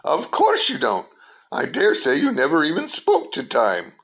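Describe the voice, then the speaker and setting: A woman's voice: deep voice, wildly swooping pitch, measured pace, breathy edge, full character voice. A woman playing an eccentric, touchy tea-party host in a radio play, theatrical and argumentative. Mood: haughty. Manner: theatrical, argumentative, singing off in bursts.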